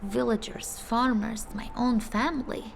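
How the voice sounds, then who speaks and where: An actress playing a haunted, fragile village woman in a video game, halting and somber, often dropping to a breathy near-whisper. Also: a little high, fairly even intonation, a little hiss or hum. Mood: haunted grief. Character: haunted, fragile young village woman who senses the dead. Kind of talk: video game character dialogue.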